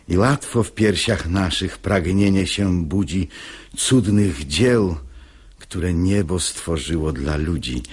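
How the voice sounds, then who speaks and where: An actor playing a sanctimonious, unctuous hypocrite who woos with lust, in a husky, breathy voice, in a verse radio drama. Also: male voice, very deep voice, heavily acted. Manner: unctuous, slow declamation. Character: sanctimonious hypocrite man, unctuous, lustfully wooing a married woman.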